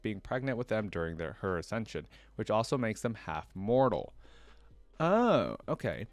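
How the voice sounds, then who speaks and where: A man's voice: fairly low pitch, no room sound. A man hosting a reaction video, reading trivia aloud in a casual way with short asides to himself.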